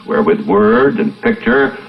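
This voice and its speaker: A man's voice: fairly low pitch, sing-song, measured pace. A man delivering grave, preachy, formal narration in the style of an old moralizing documentary. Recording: dull, distant old archival recording.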